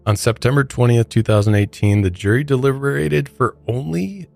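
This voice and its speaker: A man's voice: medium pitch, normal speed, vocal fry, darkish tone, faint music behind. A man with an American accent casually discussing true crime as a podcast co-host.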